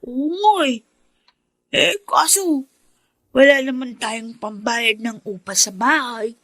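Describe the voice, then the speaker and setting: A woman's voice: on the high side, slow, a bit bright, dry recording, heavily acted. A woman in a Filipino radio drama playing an earnest, hopeful, dutiful poor boy in his teens, speaking politely. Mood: resigned.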